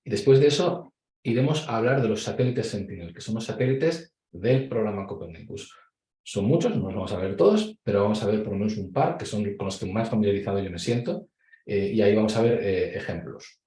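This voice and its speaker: A man with a Spain Spanish accent giving an online conference presentation, measured and explanatory, with frequent hesitations over a video call. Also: on the low side, animated, mellow. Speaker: conference speaker. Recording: echoey room.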